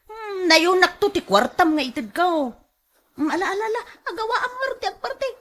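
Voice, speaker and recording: A woman with an Ilocano accent acting as a sly, fast-talking livestock seller in a radio drama, animated and exclamatory. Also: very high voice. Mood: reassuring.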